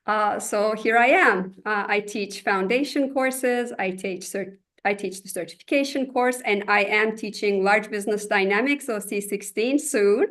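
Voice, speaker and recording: A woman instructor gives a short, modest introduction. Her voice sits at an ordinary pitch, and the sound is that of a video call. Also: moderate pace, few pauses, a bit bright.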